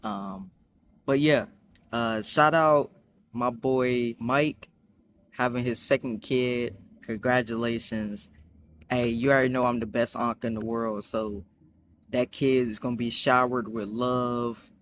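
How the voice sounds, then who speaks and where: A man's voice: fairly even intonation, takes it slowly, a bit bright, slight background noise. Rambling young man telling a storytime. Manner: rambling, many fillers and restarts; acts out quotes with high voices. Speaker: vlogger. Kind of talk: casual storytime vlog.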